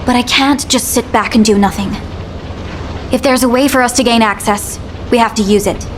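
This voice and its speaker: A woman's voice: on the high side, normal speed, slight background noise, close to an everyday voice. A young woman playing a resolute young fighter. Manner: earnest, insistent, resolute. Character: determined young female fighter. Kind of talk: video game cutscene acting.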